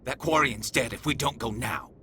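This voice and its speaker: A man's voice: medium pitch, lively intonation, moderate pace, bright, forceful tone, a little hiss or hum. A man voicing an earnest, driven alien police investigator in a video game, speaking intensely and directly. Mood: urgent. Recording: game dialogue over music.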